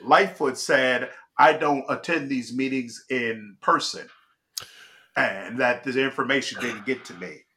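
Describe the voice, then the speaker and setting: A man from Chicago talks through the news as host, his voice bright and forward. He is emphatic and stops to check in with his listener. The delivery is loose and conversational. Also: mid-range voice, a little slow. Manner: emphatic, restarts and checks in with "all right?". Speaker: political commentary host. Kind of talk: casual political commentary.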